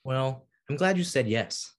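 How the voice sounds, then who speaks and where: A man playing a narcissistic, dismissive thirties date with a husky voice in a comedy table read. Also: medium pitch, expressive, a little slow. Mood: flirty. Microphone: video call.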